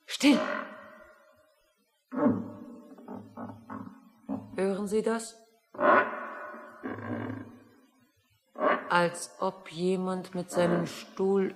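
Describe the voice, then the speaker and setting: An actress playing a distraught, secretive female staff member in a satirical radio play, agitated and halting. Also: on the low side, creaky. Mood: anxious, urgent. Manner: agitated, halting, dramatic delivery. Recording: long reverberant hall.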